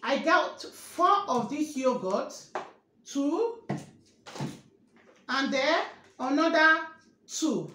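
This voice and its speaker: A woman in her thirties vlogging a grocery haul in her kitchen, slowly repeating each price and asking viewers whether they can see the items. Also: sits high, sing-song, bright, forceful tone. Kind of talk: grocery haul show-and-tell. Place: kitchen, indoors. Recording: echoey room with faint noise.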